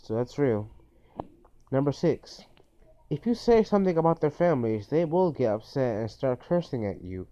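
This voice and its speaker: Male video host, dark mellow tone, earnest informal advice, dull lo-fi phone sound. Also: medium pitch, big pitch swings, moderate pace, a little hiss or hum. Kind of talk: informal list-style advice monologue.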